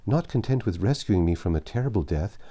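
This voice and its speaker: A man voicing a gentle, devoted young noblewoman in an audiobook, tender, pleading and courteous. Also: on the low side, lively intonation, brisk. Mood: courteous, questioning.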